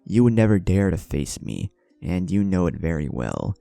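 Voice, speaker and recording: Man voicing a deep, taunting young ninja. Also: big pitch swings, gravelly, mellow, no room sound, faint music behind, heavily acted. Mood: taunting, menacing. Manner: mocking, deliberate, rhetorical. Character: defiant, taunting teenage male ninja, coolly confident.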